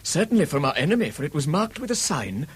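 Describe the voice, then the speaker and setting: Haunted, embittered British recluse, husky and fairly high, animated swoops, formal grave intensity, radio mystery. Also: male voice, quick pace, full character voice. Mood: grave insistence. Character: haunted, eccentric, embittered gentleman recluse, male. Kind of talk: British radio drama acting.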